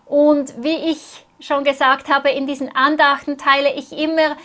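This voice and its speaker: A woman's voice: sits high, flat delivery, moderate pace, fairly bright tone. A woman interpreting a sermon phrase by phrase, speaking earnestly and closely following the preacher.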